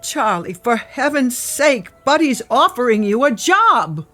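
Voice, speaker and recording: High, swooping, fretful mother. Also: big pitch swings, measured pace, pushed and bright, faint music behind, full character voice. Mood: distraught. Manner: emotional, fussing. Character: fretful, doting, possessive mother of a grown son. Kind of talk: mid-century television drama acting.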